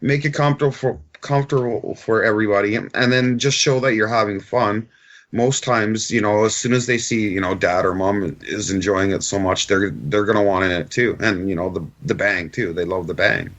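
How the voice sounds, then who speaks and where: Male guest, middle-aged dad, lowish voice, informal conversational delivery. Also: fairly even intonation, brisk, a bit bright. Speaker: interview guest, father of young shooter. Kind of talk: casual chat.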